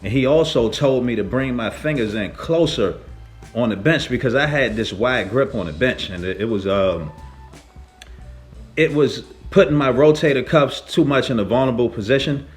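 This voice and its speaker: Animated man telling a casual gym story. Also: mid-range voice, moderate pace, soft background music. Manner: animated, slangy, emphatic, profane. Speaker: fitness vlogger, powerlifter.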